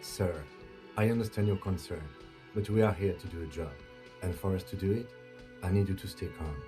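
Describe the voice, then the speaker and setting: A man plays a businesslike police lieutenant in a video game. His voice is low and soft-edged, his delivery polite, formal and restrained. There is music behind him. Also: close to an everyday voice. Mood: formal, firm. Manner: measured, polite, formal, matter-of-fact.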